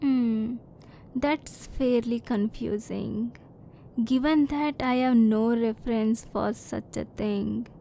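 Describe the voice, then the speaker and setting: Slow, soft-spoken curious alien woman, acted out. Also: a little high, vocal fry, a little hiss or hum, low-quality recording. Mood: attentive, unsure. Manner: formal, stilted, clinical phrasing; soft-spoken, sometimes near whisper. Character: curious, reserved female alien, formal and inexperienced with humans. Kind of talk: ASMR roleplay.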